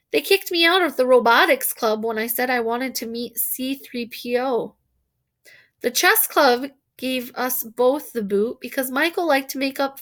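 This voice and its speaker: Female storybook reader, higher-pitched voice, expressive and animated narration for young listeners. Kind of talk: expressive read-aloud narration of a children's novel.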